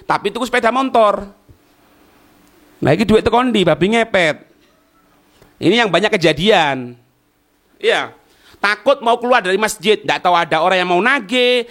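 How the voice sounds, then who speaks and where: Male Islamic teacher, East Javanese accent, high-pitched with big melodic swings, informal question-and-answer lecturing. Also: a bit bright. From East Java, Indonesia. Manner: asks and answers own questions, checks listeners understand. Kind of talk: informal religious lecture explaining a text line by line.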